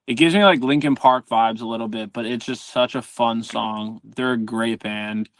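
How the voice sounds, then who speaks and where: A man's voice: medium pitch, steady tone, moderate pace, dry recording. A man speaking as a podcast guest in casual, informal chat, earnest and reflective. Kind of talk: casual chat about favourite songs.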